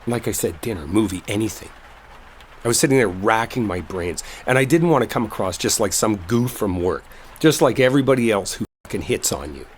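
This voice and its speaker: Roleplay audio lead: male actor as smitten, sincere office colleague, deep voice, colloquial halting confession, faint rainstorm ambience behind. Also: expressive, a little hiss or hum, natural voice. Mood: flustered, pleading. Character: earnest lovestruck male coworker clearing up a misunderstanding. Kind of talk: intimate dramatic roleplay audio, one-sided conversation.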